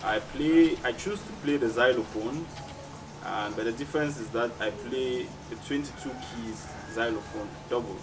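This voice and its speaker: A man with a Cameroonian accent giving reflective, measured interview answers as a musician, often repeating phrases for emphasis. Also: mid-range voice. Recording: distant mic, clearly noisy background ambience.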